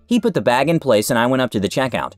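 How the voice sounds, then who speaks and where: Lively-voiced man reading stories. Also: mid-range voice, quick pace, no echo, soft background music. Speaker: narrator reading forum stories. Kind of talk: scripted narration.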